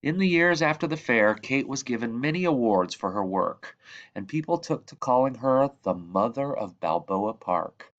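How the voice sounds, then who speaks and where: A man reading a children's book aloud as a narrator, with measured, expressive phrasing and emphasis on key words. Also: mid-range voice, highs cut off. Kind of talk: read-aloud storybook narration.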